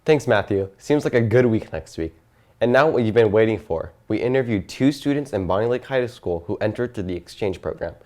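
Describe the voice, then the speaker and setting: Teen boy, anchor, low voice, scripted transitions. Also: fairly quick. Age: teens. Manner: scripted, even handoffs. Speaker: student news anchor.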